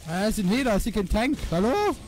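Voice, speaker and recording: A man who is a native Luxembourgish speaker, giving casual, excitable live gameplay commentary and repeating words in urgent bursts, with swearing. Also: sits high, brisk. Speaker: gamer recording a let's play. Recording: noisy home recording with game sound. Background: video game sound.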